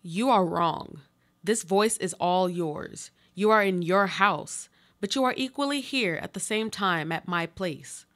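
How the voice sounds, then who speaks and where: A woman's voice: lowish voice, a little slow, fairly bright tone. A woman playing another woman's desperate, insistent double in a live radio play, with solemn, literary delivery.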